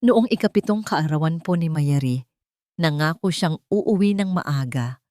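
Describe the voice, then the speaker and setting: A woman with a Filipino accent voicing a wounded wife who testifies politely and coldly in a restrained near-monotone. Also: low-pitched, a little slow, no echo, clean studio sound. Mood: cold, restrained hurt.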